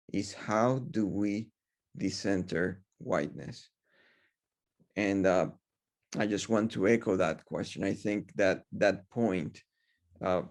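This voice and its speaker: A man speaking as a panelist, measured and unhurried, pausing on fillers. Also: lowish voice, a little mellow. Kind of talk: panel discussion. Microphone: video call.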